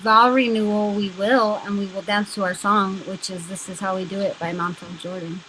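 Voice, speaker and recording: A woman's voice: medium pitch, fairly even intonation, moderate pace, a bit bright. Blunt, sweary woman over background noise. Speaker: podcast co-host. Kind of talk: casual live chat. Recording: noisy live webcam stream.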